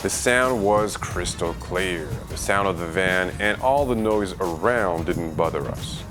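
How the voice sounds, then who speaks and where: Low-pitched Frenchman narrating a review vlog. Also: unhurried. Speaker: video creator and reviewer. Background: background music.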